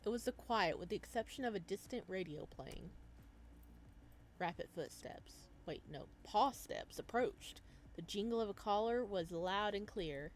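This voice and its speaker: A woman hosting a video game playthrough, reading the story aloud as expressive narration and reacting as she goes. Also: mid-range voice, unhurried, slight background noise, poor audio. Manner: expressive, slips into character voices. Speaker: gaming video host reading a visual novel aloud.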